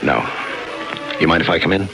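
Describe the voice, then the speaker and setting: Hard-boiled male homicide cop, low voice, deadpan, sardonic, clipped delivery, old 1950s radio drama with music behind. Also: brisk, creaky, poor audio. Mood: wry, matter-of-fact. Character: cynical, world-weary male city homicide detective.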